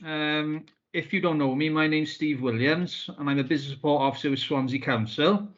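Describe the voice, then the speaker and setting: A man's voice: a little high, fairly even intonation, brisk. A man, a business support officer, giving a formal welcome to a webinar over a video call, with many ums and uhs. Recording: muffled video call audio.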